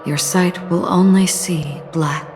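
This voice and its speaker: A woman playing a solemn, enigmatic wanderer in a video game, measured and melancholy in manner. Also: fairly low pitch, steady tone, darkish tone, soft background music. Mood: grave, foreboding.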